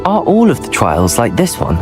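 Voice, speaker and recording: Curious student, animated delivery. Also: male voice, medium pitch, moderate pace, music in the background. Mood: interested. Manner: polite, inquisitive. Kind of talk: scripted video game dialogue.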